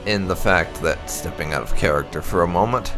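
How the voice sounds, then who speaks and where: A man hosting a gaming video, speaking in a halting, deliberate way with drawn-out emphasis. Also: low-pitched, music in the background. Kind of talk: casual let's-play commentary.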